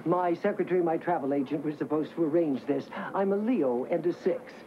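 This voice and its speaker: A woman's voice: sits low, fairly quick, close to an everyday voice. An actress playing a fussy, superstitious woman passenger who demands her seat, in a haughty, insistent manner. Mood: demanding. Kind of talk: 1980s TV movie acting. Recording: muffled TV audio with cabin noise.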